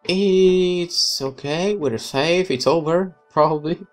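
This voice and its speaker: A woman's voice: fairly low pitch, slow pace, a little mellow, soft background music, voice pushed up. A woman voicing a naive young male soldier in a visual novel, doubtful but caring. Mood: comforting.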